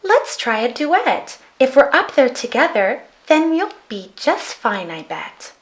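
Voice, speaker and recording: A woman's voice: sits high, very animated, slow pace, voice pushed up, full character voice. A woman voicing a kind, encouraging little girl in a children's storybook. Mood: caring. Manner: bright-spirited, encouraging. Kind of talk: children's picture book read aloud.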